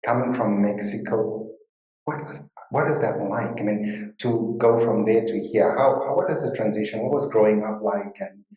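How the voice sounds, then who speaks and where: A woman's voice: extremely low pitch, steady tone, normal speed, dark tone. A woman hosting an interview, asking long, rambling questions with frequent restarts. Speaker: podcast host. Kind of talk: casual interview chat. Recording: echoey room.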